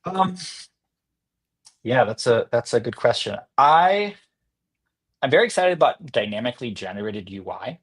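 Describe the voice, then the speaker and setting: A man's voice: medium pitch, very animated, moderate pace, pauses often, slightly rough. A man speaking casually as a company executive guest in an interview, feeling his way through his answers as he talks.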